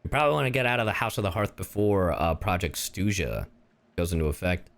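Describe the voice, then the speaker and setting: Male streamer, lower register, loose chatty game commentary with casual swearing and asides to viewers. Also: expressive, fast. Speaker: livestreamer, gamer.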